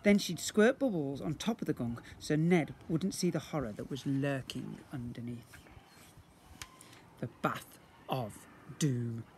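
A British man in his fifties reads a children's storybook aloud like a teacher, theatrically, with drawn-out emphasis and acted sound words. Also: fairly high pitch, a little mellow. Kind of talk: expressive children's storybook reading. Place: outdoors beside brick houses. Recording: noisy outdoor amateur video recording.

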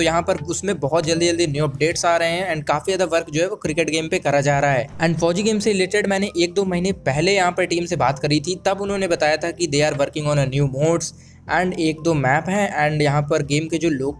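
A man from India with an Indian accent hosts a casual gaming news voice-over, mixing in English words as he goes. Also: on the high side. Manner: code-switches between Hindi and English. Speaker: gaming channel host. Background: background music.

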